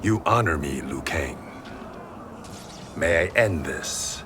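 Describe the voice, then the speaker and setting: A very deep-voiced swordsman. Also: animated, slow, noisy background, exaggerated voice. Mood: solemn. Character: courteous, wry blind swordsman, dutiful and grave. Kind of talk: cinematic game cutscene acting.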